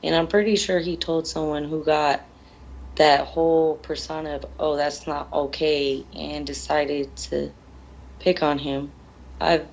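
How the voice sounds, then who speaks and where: Grieving mother, interviewee. Deep female voice with fry, halting plain speech. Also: a little hiss or hum, low-quality recording. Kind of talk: emotional television interview.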